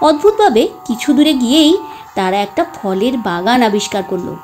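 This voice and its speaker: A woman storyteller narrating a moral tale in an expressive, scripted reading style. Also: a little high, normal speed, soft background music.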